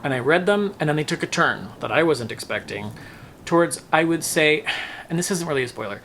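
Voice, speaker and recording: A man's voice: a little high, lively intonation, brisk, a bit bright, a little hiss or hum. A man talking casually and emphatically in a book review vlog, often musing aloud and second-guessing himself.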